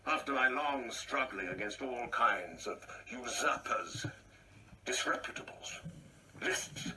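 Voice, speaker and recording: A man's voice: mid-range voice, sharp tone, distant mic, a little hiss or hum, low-quality recording. An actor playing a proud, insecure older man in a radio play, speaking in a pompous, precise, theatrical way. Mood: proud reminiscence. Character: proud, insecure ageing man, bitterly reminiscing and taunting.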